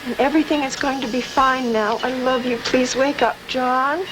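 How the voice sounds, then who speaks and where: A woman's voice: high voice, fairly even intonation, normal speed, lightly acted. A woman playing an anxious, loving wife in a naturalistic television drama from the 1970s. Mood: anxious, pleading. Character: anxious, loving wife of a heart transplant patient. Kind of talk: naturalistic 1970s TV film acting. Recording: very noisy old TV broadcast recording.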